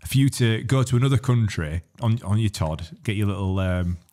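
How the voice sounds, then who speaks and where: Very deep, quick Northern English man. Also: expressive, warm tone. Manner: colloquial, blokey banter. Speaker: podcast co-host. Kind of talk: casual chat, asking questions.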